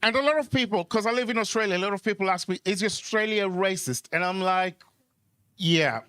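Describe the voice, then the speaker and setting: A man's voice: high voice, a little fast, sharp tone, clean tone, no room sound. A man from Kenya doing conversational stand-up on a club stage microphone, hesitating and repeating words as he goes. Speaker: stand-up comedian. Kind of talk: live stand-up comedy, conversational storytelling with crowd work.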